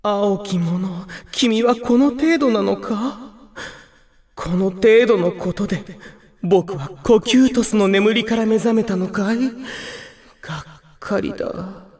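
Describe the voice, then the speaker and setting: A man's voice: high-pitched, slow pace, a little mellow. A man voicing a gloomy, theatrical young demon villain in a video game, brooding and poetic, with grandiose melodrama. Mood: taunting. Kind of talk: Japanese video game character voice clips.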